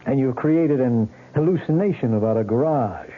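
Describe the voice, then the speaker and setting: An actor playing a blunt, insistent police lieutenant in a radio drama, questioning in a pressing, matter-of-fact way. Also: male voice, mid-range voice, normal speed, a little hiss or hum, lightly acted. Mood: firm, insistent. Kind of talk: 1970s radio drama acting. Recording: tinny narrow-band old radio recording.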